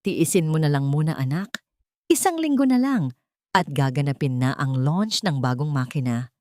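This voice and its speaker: A woman's voice: low-pitched, expressive, moderate pace, darkish tone, no room sound. A scheming older matriarch in an audio drama, as a female narrator plays her. She is cold and calculating, and the recording is dry. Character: scheming, callous older rich mother.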